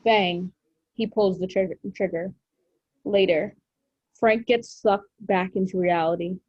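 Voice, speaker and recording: A woman reads scripted stage directions as narrator. Her voice is medium in pitch, and the recording is very dry and slightly dull. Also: fairly even intonation, measured pace, no room sound. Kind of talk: scripted narration at a table read.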